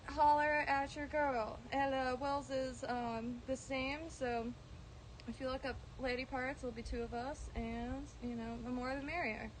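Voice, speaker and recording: Woman, high voice, rambling livestream host, droning at times, noisy outdoor phone audio. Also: little pitch movement, unhurried. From Florida. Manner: meandering, many ums and restarts, casual swearing. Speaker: plant vlogger streaming live. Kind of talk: casual rambling livestream chat. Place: outdoors in a garden.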